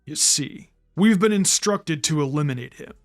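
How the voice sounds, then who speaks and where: A man's voice: on the high side, lively intonation, moderate pace, pitched below the performer's own voice, lightly acted. A man voicing a menacing, smug young ninja villain with a taunting, formal manner in a story reading. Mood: smug menace.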